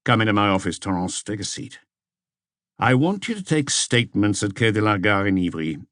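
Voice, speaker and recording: Man reading as low-voiced, methodical police chief. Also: dry recording, clean studio sound, natural voice. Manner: terse, methodical instructions. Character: seasoned male police chief, commanding, methodical, brisk. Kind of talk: audiobook character dialogue.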